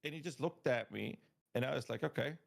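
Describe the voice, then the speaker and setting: Gravelly man telling animated stories. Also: medium pitch, brisk. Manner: animated storytelling, laughs often. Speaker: podcast guest. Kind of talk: casual podcast chat.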